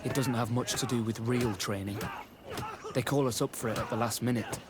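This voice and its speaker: A man acts out a young male recruit in a video game, grim and bitter with guilt. He speaks gravely and earnestly at a middle pitch, over clearly noisy ambience. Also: steady tone, fairly quick, lightly acted. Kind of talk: video game cutscene acting.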